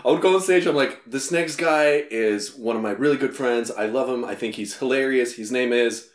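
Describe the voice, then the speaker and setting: A young man hosting a podcast, telling stories in a joking, animated way. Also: mid-range voice, normal speed. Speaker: podcast host. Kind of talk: casual chat. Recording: echoey room.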